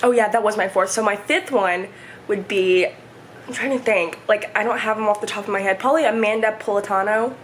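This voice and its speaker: A woman in her twenties, chatting casually and rambling through a home vlog, her voice hoarse and stuffy. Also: mid-range voice, brisk, a little hiss or hum. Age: 20s. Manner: rambling, chatty, many fillers. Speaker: vlogger. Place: home, indoors. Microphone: camera set up nearby.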